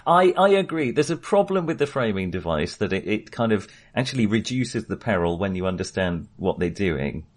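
A man's voice: on the low side, big pitch swings, normal speed, hardly any pauses. A middle-aged man casually discussing film as a podcast co-host, hesitant and thoughtful, circling back on his phrases.

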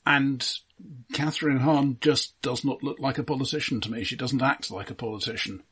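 Male co-host for relaxed film discussion, mid-pitched, husky-edged voice. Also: normal speed.

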